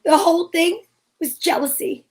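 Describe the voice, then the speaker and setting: A woman playing a brisk, bossy, wry female detective who narrates her case in old-time radio drama style. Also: extremely high pitch, a little mellow. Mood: narrating, wry. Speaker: narrator and lead actor.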